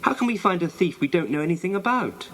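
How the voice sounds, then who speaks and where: A man's voice: sits high, lively intonation, fast talker, a bit bright, voice pushed up. A man voicing a doubtful, argumentative schoolboy in a children's storybook, persistently questioning. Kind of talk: children's storybook character reading.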